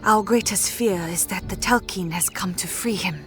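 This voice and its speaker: A woman's voice: mid-range voice, moderate pace, soft background music, close to an everyday voice. An actress playing a solemn female warrior-scholar of an ancient order in a video game, speaking with earnest, formal drama. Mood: grave, earnest.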